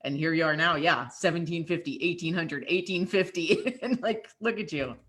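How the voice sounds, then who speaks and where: A woman with a low-pitched voice talks as a fitness coach. She is reassuring and easygoing and laughs readily while she chats with a former client. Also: moderate pace, fairly bright tone. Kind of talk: conversational interview.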